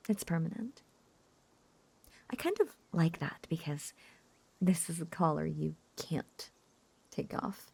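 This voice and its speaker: A lovesick witch, acted by a woman in an intimate audio roleplay speaks very slowly in a breathy, whispery voice with a creaky edge. She is clingy and possessive, coaxing her beloved in halting, lonely phrases. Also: low-pitched, darkish tone, full character voice. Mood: possessive, bashful.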